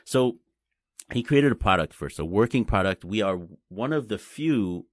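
Deep-voiced man explaining things haltingly. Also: expressive, no room sound, studio quality. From Taiwan. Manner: halting, repeats words, explains simply. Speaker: guest, blockchain company representative. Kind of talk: casual interview chat.

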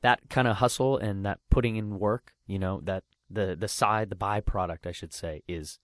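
A man's voice: fairly low pitch, moderate pace, dry recording, studio quality. A man hosting a casual interview, encouraging his guest and often saying "you know". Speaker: podcast host.

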